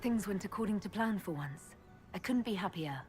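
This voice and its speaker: A woman playing a composed, direct heroine and leader in a fantasy video game, speaking in a measured way. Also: mid-range voice, animated, darkish tone, faint music behind, lightly acted. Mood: pleased.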